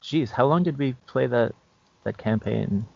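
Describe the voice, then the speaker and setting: Male co-host, laid-back casual chat. Creaky delivery, dry and slightly muffled recording. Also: medium pitch, measured pace, no room sound. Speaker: podcast co-host.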